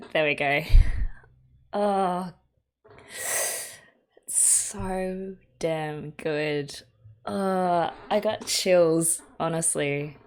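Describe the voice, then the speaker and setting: A woman's voice: low-pitched, very slow, long pauses. A young Australian woman hosting an anime reaction video, chatting casually and giggling often as she reacts. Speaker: anime reaction channel host.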